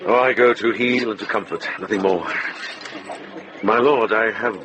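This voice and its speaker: Actor as a low-voiced, gentle, wise monk. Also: male voice, steady tone, normal speed, natural voice. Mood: earnest, pleading. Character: wise older male Benedictine monk, gentle but probing. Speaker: actor in a radio drama. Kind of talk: British radio drama acting. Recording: noisy outdoor-like drama soundscape.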